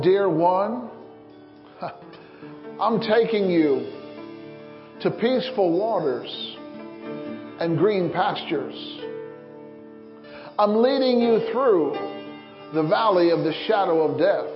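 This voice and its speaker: Male pastor, slightly high voice, slow solemn worship leading in a church. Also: long reverb tail, highs cut off. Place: church sanctuary.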